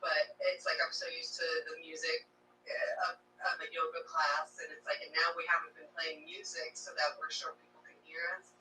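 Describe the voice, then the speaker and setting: A woman's voice: deep voice, monotone, a little fast. Echoey, distant woman over background noise. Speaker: meditation class participant. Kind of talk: casual chat. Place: remote video call. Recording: echoey, noisy, distant video-call audio.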